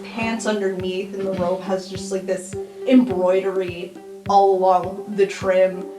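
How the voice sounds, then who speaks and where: A woman's voice: medium pitch, little pitch movement, measured pace. A woman playing a tabletop roleplay game, casually improvising descriptions and dialogue. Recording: echoey room with music behind.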